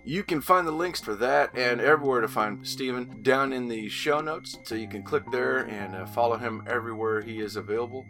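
A man's voice: medium pitch, fairly quick, few pauses. A man hosting a podcast, delivering a sign-off to listeners. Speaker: podcast host. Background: plucked guitar music.

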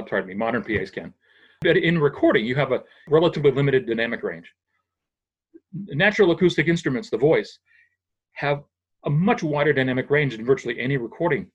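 A man's voice: mid-range voice, breathy edge, highs cut off. A man who works as a sound engineer, patiently explaining audio equipment in a casual, technical chat, often restarting his sentences.

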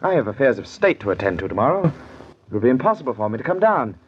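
A man's voice: mid-range voice, very animated, fast, close to an everyday voice. An actor playing a bitter, jealous nobleman in an old-time radio drama, speaking in a theatrical, imperious, musing way. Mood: impatient. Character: bitter, jealous nobleman brooding over wine and betrayal. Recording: muffled old radio recording, faint noise.

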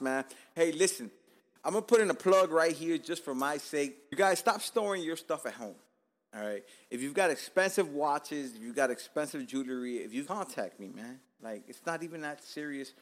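A man in his thirties, born in Cuba, hosting a casual podcast in a joking, profane, laid-back street-talk manner. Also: medium pitch. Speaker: podcast host.

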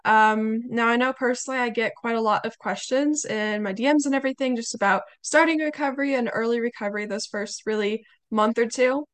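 A young woman hosting a podcast interview, her voice slightly hoarse, chatting casually with many fillers. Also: fairly high pitch, fairly quick, hardly any pauses. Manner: chatty, warm hesitations with fillers. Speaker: podcast host.

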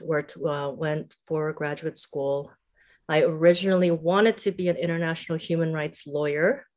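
A middle-aged woman educator speaks as a podcast guest. Her voice sits fairly low, and she explains herself in a thoughtful, measured, conversational way. She is from Singapore and Thailand. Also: a little mellow. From Singapore and Thailand, born in South Korea. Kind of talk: conversational interview.

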